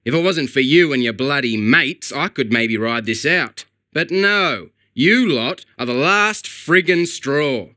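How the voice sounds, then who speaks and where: A man's voice: mid-range voice, lively intonation, unhurried, few pauses, sharp tone, dry recording, clean studio sound. A man doing a frazzled, swearing estate agent. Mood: angry. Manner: agitated, swearing, exasperated. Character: frazzled, broke middle-aged male real estate agent.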